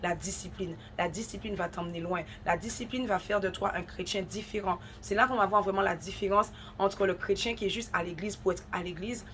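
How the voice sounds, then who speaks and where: A woman's voice: medium pitch, fairly even intonation, fairly quick, few pauses, a bit bright. Woman host with a cold, earnest and exhortative, mid-range voice, faint background hum. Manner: earnest, exhortative, repeats for emphasis. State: has a head cold, runny nose. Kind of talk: devotional encouragement talk.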